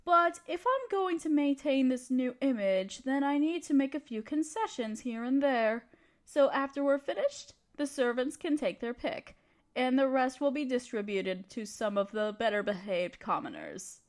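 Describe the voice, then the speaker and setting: Evil queen for fantasy audio drama, female performer: high-pitched, haughty and conniving, grand theatrical delivery, talks down to everyone. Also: expressive, moderate pace. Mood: disdainful. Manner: imperious, theatrical, condescending. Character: scheming evil queen, haughty tyrant gloating to her advisor. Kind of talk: scripted roleplay audio drama monologue.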